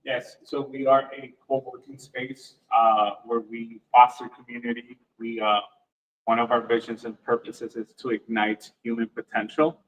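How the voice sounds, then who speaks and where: A man's voice: mid-range voice, little pitch movement, moderate pace, a bit bright, far from the mic, low-quality recording. A man who manages a co-working space answers interview questions hesitantly, with many fillers.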